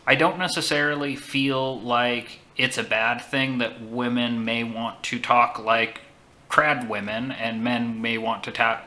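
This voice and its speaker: Host guy talking slow and careful, bright sharp voice. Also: medium pitch, steady tone. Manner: measured, deliberate, reflective. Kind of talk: casual chat.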